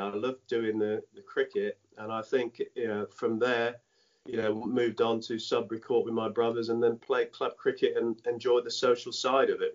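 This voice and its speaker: A middle-aged man who grew up in Dominica, speaking patois as a first language, tells stories from his past in a halting, reflective way, full of "you know" and restarts. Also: medium pitch. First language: Dominican French patois. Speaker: former cricketer, guest. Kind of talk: reflective interview storytelling. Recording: dull lo-fi sound.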